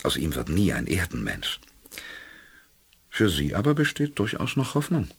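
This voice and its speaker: An actor playing a resolute male spaceship commander in a 1980s German sci-fi radio play, speaking with measured authority. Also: sits low, huge pitch swings, vocal fry, husky, exaggerated voice. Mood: calm, conciliatory.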